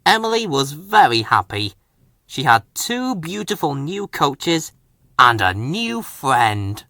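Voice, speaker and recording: A man reading a children's story aloud with dramatic, deliberate expression. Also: on the high side, big pitch swings, bright, forceful tone, dry recording, clean studio sound. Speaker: storybook reader.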